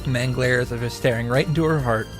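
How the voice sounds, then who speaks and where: A man's voice: mid-range voice, fairly quick. A man delivering a scripted comedic recap commentary in a wry, profane, mock-grandiose manner. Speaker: visual novel reactor and narrator. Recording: background music under the voice.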